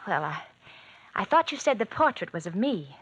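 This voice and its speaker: An actress playing a bored, thrill-seeking wife who taunts coolly and teasingly in an old-time radio mystery. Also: female voice, medium pitch, sing-song, normal speed, breathy edge, no room sound, dull audio. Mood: cool, needling.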